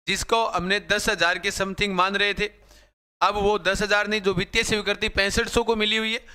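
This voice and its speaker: Slightly hoarse, bright-voiced coaching teacher. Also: male voice, sits high. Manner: repetitive, addresses viewers as brothers. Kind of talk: live-stream class talk.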